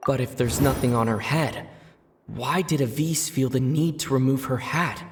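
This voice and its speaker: An actor voicing a calm, thoughtful adventurer in a video game, musing deliberately through a mystery. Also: medium pitch, background noise, natural voice. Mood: pensive.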